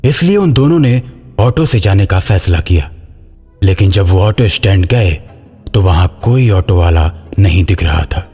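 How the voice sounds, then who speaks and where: A man's voice: deep voice, animated, unhurried, mellow, slightly rough, soft background music. A man reading a scripted horror story as its narrator.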